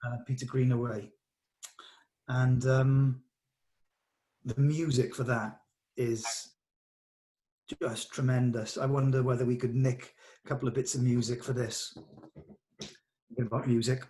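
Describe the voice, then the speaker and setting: Bloke directing a rehearsal, mid pitch, kind of hesitant, thinks as he talks, encouraging. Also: level tone, unhurried, pauses often, mellow. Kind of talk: informal rehearsal discussion, some reading of stage directions.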